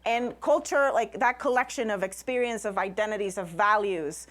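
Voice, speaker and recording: A woman speaks to a live audience as an invited science communicator. Her voice sits at a middle pitch. She is a Spanish speaker and presents emphatically, building points through short listed phrases. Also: normal speed, fairly bright tone. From Puerto Rico.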